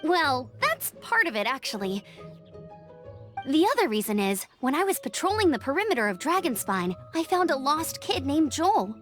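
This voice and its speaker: A caring young female scout in a video game. Her high voice is chirpy and animated, informal and earnest, over faint music. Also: moderate pace. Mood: concerned, earnest. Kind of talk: video game character acting.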